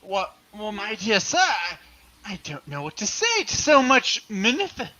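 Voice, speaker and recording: A woman playing a flustered, courteous gentleman in a radio play reading, hesitant and formal. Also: medium pitch, sing-song, measured pace. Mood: astonished.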